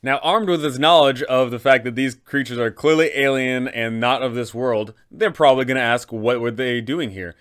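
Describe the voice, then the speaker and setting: Man, medium pitch, crisp resonant voice, casual emphatic delivery, tabletop game explainer host. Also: normal speed, few pauses, a bit bright. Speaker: host, game master advising other game masters.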